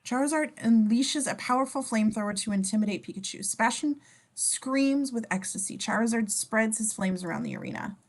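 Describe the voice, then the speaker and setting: A woman's voice: fairly high pitch, lively intonation, a little fast. A woman narrating scene descriptions in an amateur script reading, sometimes stumbling and correcting herself. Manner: stumbles over words and self-corrects.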